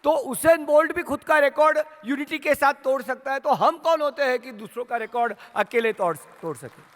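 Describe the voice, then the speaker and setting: Rousing Indian man with very high pitch. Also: normal speed. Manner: rousing, asks the crowd questions, builds to shouts. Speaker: company leader addressing a sales team. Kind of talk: motivational speech. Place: large hall at a training event. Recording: long hall reverb.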